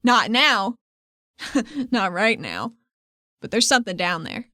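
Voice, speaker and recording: A woman playing her character in a tabletop role-playing game, talking in a lively, joking way. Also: mid-range voice, no room sound, clean studio sound. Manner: lively, joking, quick to laugh. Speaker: player. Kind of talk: casual tabletop play.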